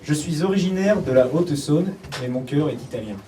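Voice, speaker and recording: Highish male voice reading aloud, echoey. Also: animated, brisk, mellow, a little hiss or hum. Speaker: riddle reader. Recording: echoey room, distant mic.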